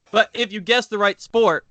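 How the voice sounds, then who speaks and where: Bright, stuffy-nosed young podcast host. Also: male voice, high-pitched, no echo. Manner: laughs often, talks fast when excited. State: sick, a little nasal. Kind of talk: casual chat.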